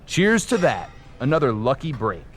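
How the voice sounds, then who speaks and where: An actor playing a competitive, self-assured wrestler with an assertive, earnest manner in a video game cutscene. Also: male voice, mid-range voice, very animated, normal speed, lightly acted. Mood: challenging.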